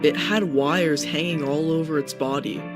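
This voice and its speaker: A man's voice: a little high, steady tone, normal speed. A young adult man reading a scripted first-person horror story as its narrator. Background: guitar music.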